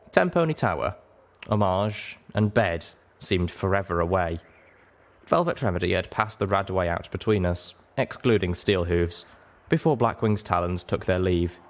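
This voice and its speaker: A man reading a first-person adventure story aloud as a dramatic narrator, with expressive and deliberate phrasing. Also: low voice. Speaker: audiobook narrator.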